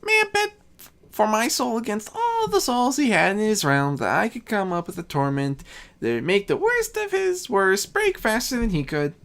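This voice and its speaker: A woman's voice: mid-range voice, very animated, normal speed, pitched above the performer's own voice. A woman voicing a mischievous, boastful young male chaos spirit in a story, grumbling and bragging offhandedly. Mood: smug. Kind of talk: fan fiction story reading.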